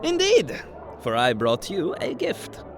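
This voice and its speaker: A man's voice: a little high, huge pitch swings, takes it slowly, a crowd in the background, full character voice. A man playing a sly, teasing trickster god in an audio drama, speaking with mock courtesy and formal, mischievous phrasing.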